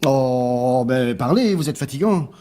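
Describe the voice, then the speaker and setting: A man's voice: medium pitch, expressive, measured pace, warm tone, dry recording, natural voice. Actor as irritable, cocky businessman, swooping delivery. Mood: brusque, impatient. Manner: grumbling, colloquial, swearing. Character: irritable, cocky married businessman, impatient boss and sore loser. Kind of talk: French radio drama acting.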